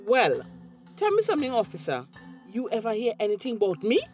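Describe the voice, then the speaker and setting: An actress playing a cheeky, stalling village gossip in a radio drama, with a Tobagonian accent and a teasing, drawn-out way of talking. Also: female voice, a little high, wildly swooping pitch, a little mellow, faint music behind. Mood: coy, stalling.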